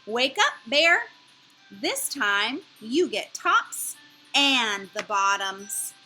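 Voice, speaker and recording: A sly storybook hare farmer played by a woman, high and bright. He is cheerful and pleased with his bargain, stretching out his words as he gloats. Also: big pitch swings, slow, soft background music, full character voice, rough recording. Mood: cheerful taunting.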